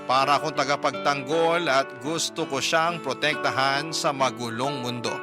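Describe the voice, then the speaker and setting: A man's voice: mid-range voice, steady tone, normal speed. Male radio drama narrator over music. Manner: earnest, storytelling, first person. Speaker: narrator of a listener's letter. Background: background music.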